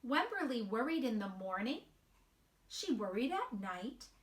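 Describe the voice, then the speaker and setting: Female storytime reader for young kids, unhurried and animated, recorded in an echoey room. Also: fairly high pitch, slight background noise. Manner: simple, explanatory, addressed to young children. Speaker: storytime reader addressing children. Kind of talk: children's picture-book read-aloud with asides. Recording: roomy, distant microphone.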